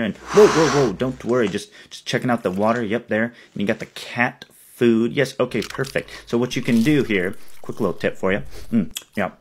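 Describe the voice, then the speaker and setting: A man voicing a chatty con-artist raccoon in a comic animal dub, with rambling, friendly sales-pitch patter. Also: a little hiss or hum, natural voice.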